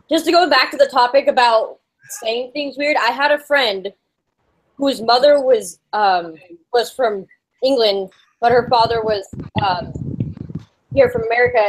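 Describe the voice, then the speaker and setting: A woman's voice: a little high, expressive, measured pace, rough recording. A woman on a group video call, telling casual anecdotes in a chatty way. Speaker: livestream guest. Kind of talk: casual storytelling chat.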